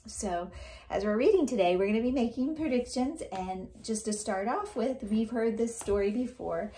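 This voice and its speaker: A woman's voice: fairly high pitch, moderate pace, mellow, echoey room. A woman teacher explaining things to young students in a read-aloud lesson, with simple, explanatory phrasing.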